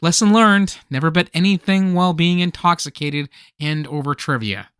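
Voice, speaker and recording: A man performs an earnest, fussy collector in a comedic radio play. His voice is bright and forward, recorded close and dry, and he pushes his points dramatically with small stammers. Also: fairly high pitch, moderate pace, clear, resonant tone, dry recording, lightly acted. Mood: rueful. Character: earnest, fussy man obsessed with old holiday tapes.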